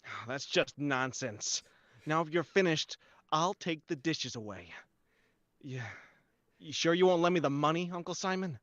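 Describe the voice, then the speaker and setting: A man playing a desperate, exasperated nephew in an old-time horror radio drama. The voice is bright and close, jumping around in pitch with indignant melodrama. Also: expressive, unhurried, no echo, highs cut off. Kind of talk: 1940s horror radio acting.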